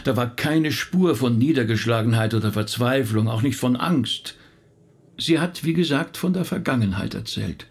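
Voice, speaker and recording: A man playing a calm, thoughtful pastor in a radio drama, husky and speaking in a considered, patient way. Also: medium pitch, normal speed. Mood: firm, thoughtful. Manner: considered, patient, slightly indignant. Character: calm, thoughtful male pastor being questioned. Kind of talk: German radio drama acting.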